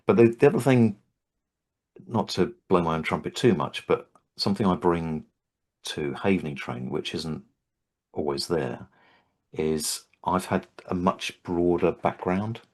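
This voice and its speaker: A man with a very deep, low voice, older, talking through his training work in a relaxed interview. He restarts his sentences often and thinks aloud, hesitant but friendly in tone. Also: unhurried. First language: English. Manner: thoughtful, hesitant with frequent restarts. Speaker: Havening trainer and host. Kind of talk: casual interview chat.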